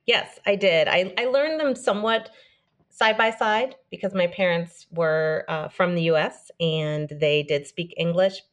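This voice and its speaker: A woman guest on a marketing podcast, answering in a thoughtful, measured way. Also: lowish voice. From born in Denmark, raised partly in Israel and the United States. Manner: thoughtful, measured, some restarts. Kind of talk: conversational interview.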